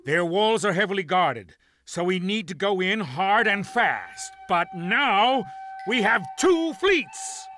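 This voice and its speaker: Resolute warlord leader in a game, high voice, slow declamatory orders. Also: male voice, expressive, sharp tone, full character voice. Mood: resolute, rousing. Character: resolute male clan lord and fleet leader. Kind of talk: dramatic game cutscene acting.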